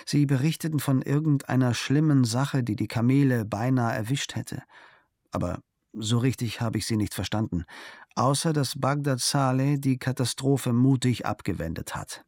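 A man calmly reading a travel diary aloud in a measured storytelling manner, recorded close to a studio mic. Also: medium pitch, dry recording. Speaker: narrator.

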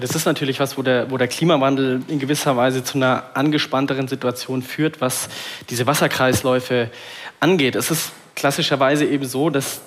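A man, a climate journalist, explaining on a panel in an informed way with occasional fillers. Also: medium pitch.

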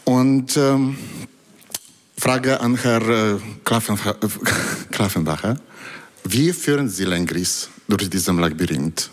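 A man who is not a native German speaker asks a question at a public meeting, reading haltingly from his notes with many fillers. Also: on the low side. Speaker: audience member asking a question. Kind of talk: public town hall question. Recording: reverberant hall.

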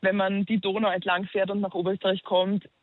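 A young woman from Upper Austria with an Upper Austrian accent, a musician talking in a phone interview, pausing thoughtfully to find her words. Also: medium pitch, level tone, a little hiss or hum. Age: young adult. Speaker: musician guest. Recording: dry tinny phone line.

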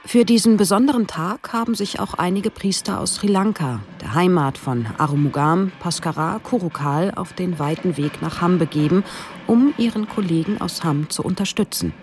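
A woman's voice: lowish voice, moderate pace, darkish tone, faint music behind. A woman reading scripted narration for a radio documentary.

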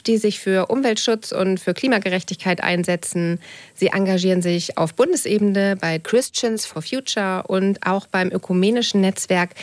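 A woman pastor hosting a church radio interview, asking clear, composed, scripted questions. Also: mid-range voice, no room sound.

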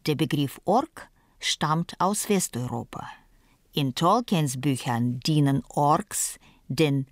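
Low-voiced woman narrating a feature. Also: no room sound, clean studio sound. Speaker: reporter and narrator.

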